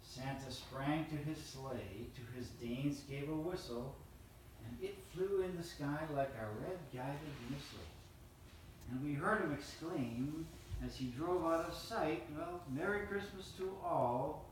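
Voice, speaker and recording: A man reading a rhyming holiday poem aloud in a steady, measured way. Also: on the high side, a little hiss or hum. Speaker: storyteller reading a Christmas poem. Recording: roomy, distant mic, faint ambience.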